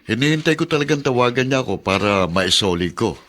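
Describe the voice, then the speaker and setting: An actor playing an honest, principled taxi driver husband, speaking earnestly and firmly in a radio drama. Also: male voice, mid-range voice, lively intonation, a little fast, fairly bright tone, lightly acted. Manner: earnest, firm.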